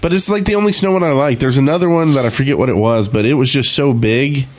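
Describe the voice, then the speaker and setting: A man's voice: mid-range voice, fast talker. A man co-hosting a gaming podcast in casual, informal chat with a joking manner. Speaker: podcast co-host.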